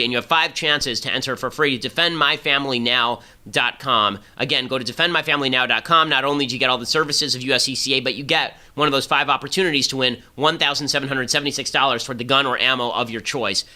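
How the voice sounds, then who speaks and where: A man hosting a political podcast, delivering rapid, emphatic, argumentative commentary. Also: medium pitch, bright, forceful tone. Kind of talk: fast political commentary and ad read.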